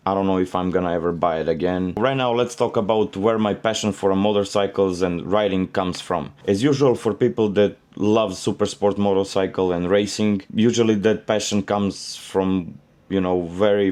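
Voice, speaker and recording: A young man from Serbia with a Serbian accent, casually telling his motorcycle story in a rambling way with many fillers. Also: low voice. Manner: relaxed and rambling, many "you know" fillers. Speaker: motorcycle vlogger.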